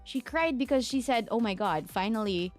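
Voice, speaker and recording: A young woman from the Philippines, a streamer chatting casually with her viewers and answering their questions, with a low voice from a lingering sore throat. She rambles with plenty of fillers and laughs. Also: animated, normal speed, faint music behind. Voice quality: mezzo-soprano singing voice. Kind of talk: casual chat with chat readers.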